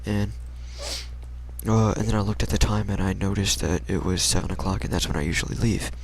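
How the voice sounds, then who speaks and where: Deep, gravelly young American man telling stories. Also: fairly even intonation, fairly quick, a little hiss or hum, low-quality recording. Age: young adult. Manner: rambling, slangy, hesitant delivery. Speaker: storyteller. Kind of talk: casual storytime anecdote.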